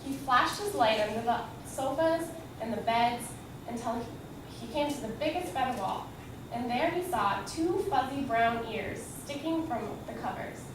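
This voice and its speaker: A woman's voice: on the high side, expressive, a little slow. A woman reading a children's book aloud as a storyteller, recorded at a distance in an echoey, noisy room.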